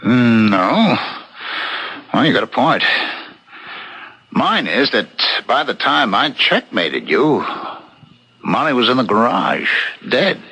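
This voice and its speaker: An actor playing a reasonable, loyal friend with a deliberate, questioning manner in a 1970s radio mystery. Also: male voice, mid-range voice, sing-song, sharp tone, slight background noise, heavily acted, poor audio. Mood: quietly probing. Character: reasonable, probing husband, loyal friend of a suspect.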